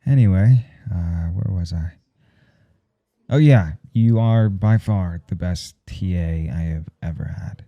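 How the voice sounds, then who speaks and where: A man playing a flustered, jealous professor in an intimate audio roleplay, speaking haltingly and gruffly with a gravelly voice and plenty of swearing. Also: low-pitched, highs cut off, heavily acted. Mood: flustered, defensive. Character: jealous, flustered male professor smitten with his teaching assistant.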